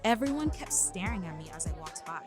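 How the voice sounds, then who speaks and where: A woman's voice: on the low side, very animated, a little fast. A woman playing an anxious young partygoer turning into a mermaid, reading a horror story in the first person over music. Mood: uneasy, dramatic.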